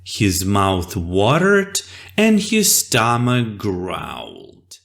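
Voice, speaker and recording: A storyteller narrating a children's fable in an expressive, deliberate and dramatic way. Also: low-pitched, vocal fry.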